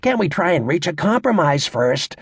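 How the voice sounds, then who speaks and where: A man playing a brash, crude stand-up comedian working a club crowd in an audiobook, with a raspy voice and a cocky, sarcastic, showy, needling delivery. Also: a little high, big pitch swings, normal speed, no room sound, clean studio sound, full character voice. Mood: mock-earnest.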